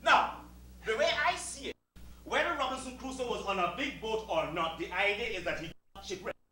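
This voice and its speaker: An actor with a Caribbean accent playing a proud, sardonic servant who bosses like a director in a stage comedy, mock-polite and emphatic. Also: male voice, high voice, expressive, fairly quick, pushed and bright. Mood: bossy, exasperated. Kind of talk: live satirical stage comedy acting. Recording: echoey far-off stage recording, humming noise.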